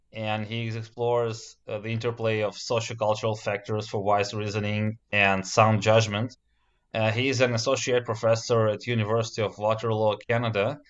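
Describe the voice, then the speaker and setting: A man whose first language is Russian, politely hosting an interview with careful, hesitant phrasing. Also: mid-range voice. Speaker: podcast host. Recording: dull lo-fi recording.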